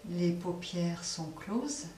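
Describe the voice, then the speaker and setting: A woman leading a guided relaxation as a yoga nidra teacher, speaking softly and unhurriedly with long pauses between phrases. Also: on the low side, animated. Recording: roomy echo, distant mic, faint noise.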